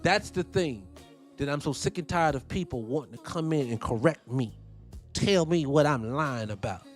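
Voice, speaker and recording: A young man rants on his talk show, swearing freely and jumping between heated points and side stories. His voice sits a touch high for a man, with a faint music bed behind him. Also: normal speed. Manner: profane, animated, ranting with tangents. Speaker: host. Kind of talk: heated casual commentary.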